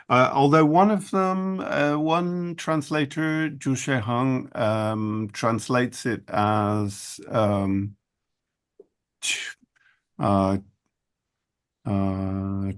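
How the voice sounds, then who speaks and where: A man speaking as an author on a roundtable panel, halting and thoughtful with many fillers and restarts. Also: mid-range voice, smooth. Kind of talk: academic roundtable discussion.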